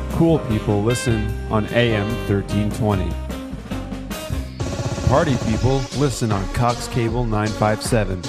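A man reading a radio station promo in an upbeat announcer style. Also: mid-range voice. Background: loud music.

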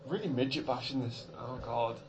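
A man's voice: mid-range voice, fairly even intonation, normal speed, crowd noise, rough recording. A man laughing and teasing while riffing on a film with friends. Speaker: film riffer. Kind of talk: casual jokey movie commentary.

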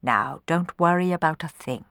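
Lady doing a calm wise royal adviser guy in a storybook, deliberate, kindly, dry sound. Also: mid-range voice, clear, resonant tone, no echo, voice pushed up. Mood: gentle comfort.

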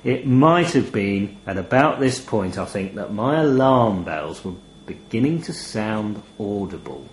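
Guy reading a short story, wry and dramatic, lots of pitch movement, bit roomy. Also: lively intonation, unhurried, hardly any pauses, slight background noise. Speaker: story reader. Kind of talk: dramatic first-person short story narration. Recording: roomy, echoey voice recording.

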